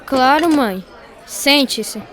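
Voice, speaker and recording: High-voiced earnest young boy. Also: expressive, extremely slow, fairly bright tone, some chatter behind, full character voice. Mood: reassuring. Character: earnest boy, son of a farm mother. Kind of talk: radio drama acting.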